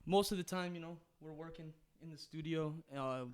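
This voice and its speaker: A man's voice: on the high side, moderate pace, smooth. A young man with a Canadian accent, a drummer and rapper guest who talks in an upbeat, grateful way. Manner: upbeat, grateful, laughs often. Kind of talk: casual interview.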